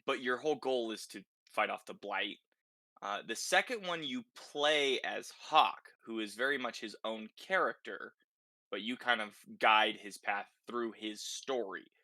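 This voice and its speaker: A man's voice: lowish voice, a little slow, pushed and bright, no echo. A man hosting a casual, conversational podcast discussion about video games, often checking in with short tag questions. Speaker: podcast host.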